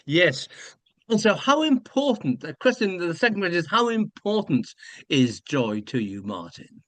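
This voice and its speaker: A man hosting an interview, his voice a little higher than most men's and recorded dry and close. He asks his questions hesitantly, pausing on fillers and starting over. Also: big pitch swings, fairly bright tone, no echo. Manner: hesitant, many fillers and restarts. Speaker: podcast host. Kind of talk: conversational interview.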